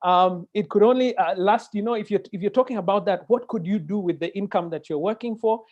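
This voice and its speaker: A man with a Kenyan accent moderating a webinar conversationally, animated and given to digressions and interjections. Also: high-pitched, a little fast. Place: indoor room, video call. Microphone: earphone mic on video call. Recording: dull, lo-fi video-call audio.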